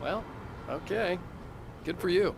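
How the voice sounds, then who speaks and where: A man's voice: high-pitched, animated, slow pace, vocal fry, background noise, heavily acted. An actor playing a weary, reserved, dry-witted male writer in a video game, speaking tersely. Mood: polite, wry. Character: weary, guarded, dry-witted city man, famous writer.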